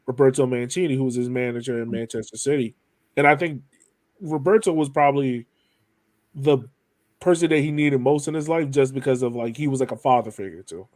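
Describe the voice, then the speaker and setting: A man's voice: mid-range voice, darkish tone, no echo. A man hosting a podcast, talking in a casual, conversational way as he thinks out his points aloud. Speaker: podcast host.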